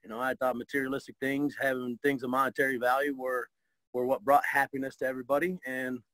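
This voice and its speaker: A man from Michigan, telling his life story as an interview guest, hesitant, with many pauses and restarts. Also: mid-range voice, level tone, bright, forceful tone, no room sound, rough recording. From Michigan, USA. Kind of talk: casual spoken life story.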